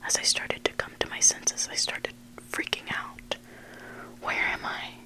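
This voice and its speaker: An ASMR host whispering scary stories in a hushed, unvoiced voice, close to the microphone. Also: moderate pace, poor audio. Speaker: ASMR host reading listener-submitted stories. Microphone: close microphone made for headphone listening.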